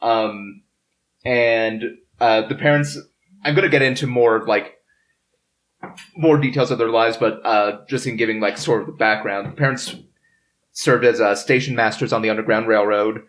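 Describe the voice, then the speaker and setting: A podcast host talking casually through history research in a halting, hesitant way. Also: medium pitch, fairly even intonation, a bit bright, poor audio. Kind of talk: casual history discussion among friends. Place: in person around a table.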